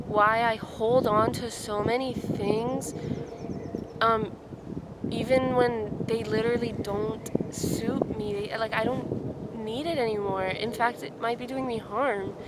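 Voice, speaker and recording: A woman's voice: fairly high pitch, steady tone, unhurried. Musing young woman in a forest. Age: 20s. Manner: musing, says "like" a lot. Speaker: vlogger. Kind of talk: reflective casual vlog talk. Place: outdoors in a forest. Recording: outdoor noise behind her.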